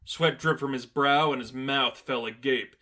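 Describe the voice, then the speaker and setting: A man narrates scripted fiction at a middle pitch. He reads prose as the storyteller of a fan-written tale. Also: fairly even intonation, measured pace, pushed and bright.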